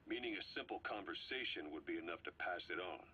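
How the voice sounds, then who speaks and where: A deep, blunt soldier. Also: male voice, animated, fast, bright, forceful tone, muffled recording, a little hiss or hum. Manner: blunt, matter-of-fact. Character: male soldier, blunt, practical. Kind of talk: video game voice acting.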